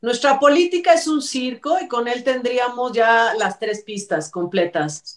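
A woman's voice: on the high side, background noise. A middle-aged Mexican woman co-hosting an entertainment show in casual panel chat. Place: indoor room with wooden blinds. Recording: roomy, echoey video call.

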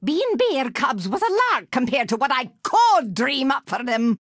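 A woman's voice: sits high, very animated, a little slow, slightly rough, dry recording, clean studio sound, heavily acted. A woman voicing a crotchety, scheming old witch for a video game, with a Scottish accent and a theatrical, scolding, mock-sweet manner. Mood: grumbling, menacing. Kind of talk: animated video game voice acting.

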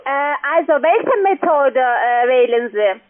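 A woman's voice: high-pitched, expressive, takes it slowly. A woman with a foreign accent, a non-native speaker working as a call-centre agent on a sales call. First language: not German. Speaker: call-centre account manager. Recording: thin, tinny phone line.

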